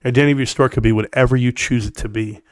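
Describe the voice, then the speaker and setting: A man's voice: medium pitch, fairly even intonation, racing, clear, resonant tone, dry recording. Blunt man with a scratchy voice. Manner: blunt, emphatic, talks straight to the chat. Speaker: stock market live streamer. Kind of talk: opinionated casual livestream rant.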